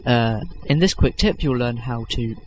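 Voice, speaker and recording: A man's voice: mid-range voice, normal speed, a little hiss or hum. A young man hosting a casual video-editing tutorial, rambling with lots of fillers and self-corrections, self-deprecating. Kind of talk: unscripted screen-recording tutorial.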